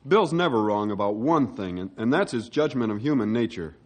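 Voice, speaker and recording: A man playing a loyal, thoughtful young ranger in a radio western, speaking earnestly and in measured phrases. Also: fairly low pitch, expressive. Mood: firm, loyal. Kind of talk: old-time radio western drama acting.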